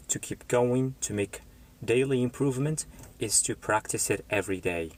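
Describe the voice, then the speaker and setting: Slow, low-voiced tutor. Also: male voice. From Morocco. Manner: unhurried, pausing often with fillers, greeting viewers by name. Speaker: English tutor. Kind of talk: live stream chat with viewers.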